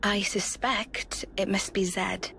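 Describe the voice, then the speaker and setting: Regal game queen, solemn, formal, unhurried. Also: medium pitch, fairly even intonation, vocal fry, bright, forceful tone, a little hiss or hum, heavily acted. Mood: grave. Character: calm regal queen, adult woman.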